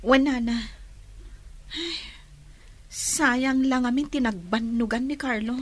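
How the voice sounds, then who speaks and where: An actress playing an earnest, loyal young daughter and sweetheart in a radio drama. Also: female voice, sits high, takes it slowly. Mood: dismayed. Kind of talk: radio drama acting in Filipino regional serial.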